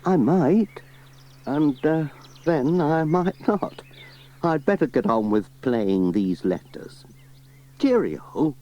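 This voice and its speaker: A man's voice: fairly high pitch, very animated, takes it slowly, warm tone, pitched above the performer's own voice. A man puts on a modest village postman from a children's storybook. He is friendly and apologetic, with hesitant phrases that rise and fall a great deal. The old cassette sounds slightly dull. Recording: muffled, hissy cassette transfer.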